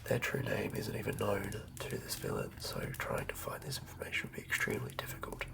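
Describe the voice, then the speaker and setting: A man giving a whispered, improvised ASMR narration as a game master, close to the mic, murmuring in a halting way as he thinks aloud. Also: fairly low pitch, big pitch swings, creaky.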